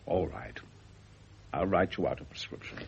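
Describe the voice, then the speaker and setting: An actor playing a calm, frank male doctor who reasons patiently and deliberately in a radio drama. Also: low-pitched, vocal fry. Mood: firm. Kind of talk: 1970 radio drama acting. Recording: dull, noisy old radio recording.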